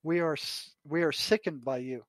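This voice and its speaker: Higher-pitched older American man, dry sound. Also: normal speed, a bit bright, no echo. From United States. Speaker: retired psychotherapist, podcast guest. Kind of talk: conversational anecdotes and reading aloud from written notes.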